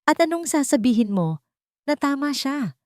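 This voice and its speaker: Woman voicing a domineering, melodramatic older mother, raised pitch. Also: big pitch swings, normal speed, dry recording, studio quality. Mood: sharp, threatening. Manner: sharp, plaintive, dramatic.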